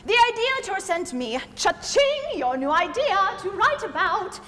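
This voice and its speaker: A woman's voice: extremely high pitch, big pitch swings, a little slow, fairly bright tone, heavily acted. A woman playing an officious, exasperated idea come to life, quoting rules in an indignant, theatrical way in a comic stage play. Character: personified idea, officious, exasperated, rule-quoting female messenger. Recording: echoey stage hall, clear background noise.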